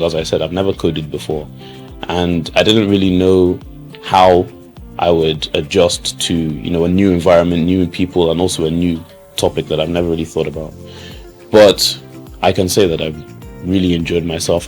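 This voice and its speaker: A young man speaks in a very low voice, relaxed and unhurried, often circling back with "you know" and false starts. Soft music plays faintly behind him. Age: young adult. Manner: relaxed, many fillers and restarts. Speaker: student. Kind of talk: interview answers.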